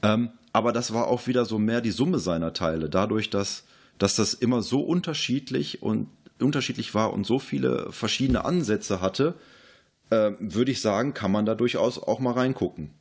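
A man's voice: lowish voice, moderate pace, gravelly, slightly rough. A man casually discussing films as a podcast host.

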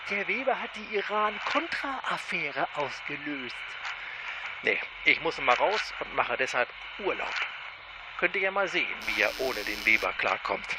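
A bumbling, chatty time agent who has run off on holiday. His voice is pitched a little high and swings all over the place as he rambles. Loud ship ambience and noise sit behind him. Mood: jovial. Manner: chatty, self-pitying, jovial.

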